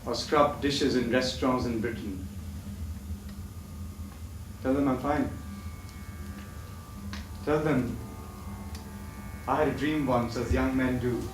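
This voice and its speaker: A man's voice: mid-range voice, lightly acted. An actor playing a bitter young man estranged from his rich family in a stage play, delivering his lines in an impassioned, declamatory way. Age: young adult. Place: theatre stage. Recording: echoey stage from far off, faint music.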